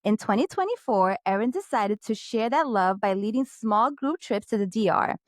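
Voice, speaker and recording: A woman's voice: fairly high pitch, animated, moderate pace, no room sound, studio quality. A woman from the Dominican Republic co-hosting a travel podcast, upbeat and chatty, often saying "like". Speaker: podcast co-host. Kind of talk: casual podcast chat.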